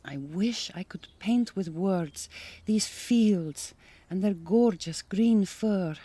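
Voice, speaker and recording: A woman's voice: medium pitch, slow pace, warm tone, breathy edge, no echo. Polite young Hungarian woman. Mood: dreamy, confiding. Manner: careful, formal phrasing. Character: young Hungarian migrant farm worker, polite, self-assured, romantic. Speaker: actress in an audio drama. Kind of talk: radio drama acting.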